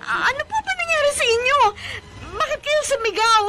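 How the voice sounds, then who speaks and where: A young village woman speaks in a very high voice. She pleads politely and is often close to tears in a radio horror play. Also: expressive, a little fast, sharp tone, exaggerated voice. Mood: tearful. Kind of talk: radio horror drama acting.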